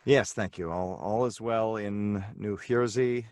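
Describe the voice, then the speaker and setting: A man's voice: lowish voice, steady tone, a little slow, noisy background. A man chatting casually as a voice actor guest, with dry, wry joking. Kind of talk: casual chat.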